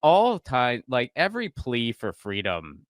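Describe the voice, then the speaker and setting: Male co-host, lowish and crisp, self-deprecating stammerer, dry close mic. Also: huge pitch swings, a little slow, no echo. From Pittsburgh. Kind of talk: casual chat.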